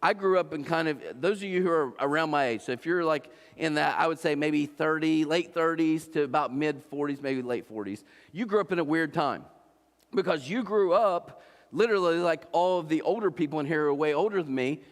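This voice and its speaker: Preacher in his 40s from Ohio, slightly high voice, chatty sermon delivery with asides to the crowd, long church-hall reverb. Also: a little fast. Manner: conversational, repeats and restarts, asks the crowd "right?". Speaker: pastor. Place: church auditorium.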